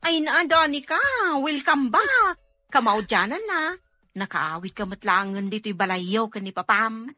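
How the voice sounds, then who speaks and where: A woman's voice: on the high side, lively intonation, unhurried, pushed and bright, faint music behind, exaggerated voice. A woman with an Ilocano Filipino accent playing a cheerful, doting household helper in a radio drama. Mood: cheerful, teasing.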